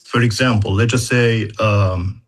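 Husky low-voiced man explaining. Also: fairly even intonation, measured pace. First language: not English. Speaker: university educator in data analytics. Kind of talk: explanatory interview conversation.

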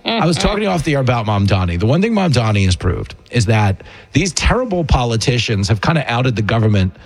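Male talk radio host, raspy and gravelly, comic banter with big swings in pitch. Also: quick pace. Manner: jokey, animated, slipping into mock dialogue.

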